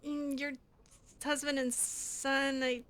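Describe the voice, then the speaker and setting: A woman's voice: sits high, level tone, slow, fairly bright tone. A woman doing casual improvised roleplay while playing a video game, hesitant, awkward and drily reluctant. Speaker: video game player roleplaying her character.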